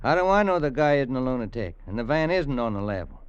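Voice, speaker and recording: An actor playing a capable male state trooper in a 1950s radio drama, with blunt, brisk speech. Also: medium pitch, big pitch swings, clear, resonant tone, no room sound, lightly acted. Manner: brisk, blunt, tough-talking. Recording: dry, dull old radio recording.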